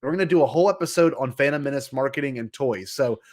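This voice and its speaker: A man co-hosting a fan podcast with casual, playful, excitable banter, often repeating his phrases. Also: medium pitch, quick pace. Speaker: podcast co-host.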